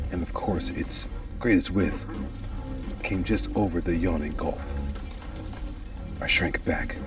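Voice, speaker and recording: A man's voice: deep voice, recorded from a distance. A man giving a theatrical, anguished and intense first-person reading of a gothic horror story.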